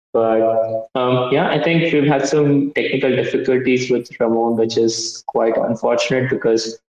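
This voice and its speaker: A man hosting a casual online chat for his project team, laid-back and halting as he talks. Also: medium pitch, a little mellow. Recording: very echoey, dull lo-fi sound.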